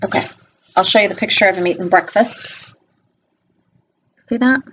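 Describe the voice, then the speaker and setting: A woman reading a picture book aloud to young children, expressive, with informal, gently instructive asides spoken directly to them. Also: medium pitch, moderate pace, breathy edge. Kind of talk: read-aloud storytelling with chatty asides. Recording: dull lo-fi home video sound.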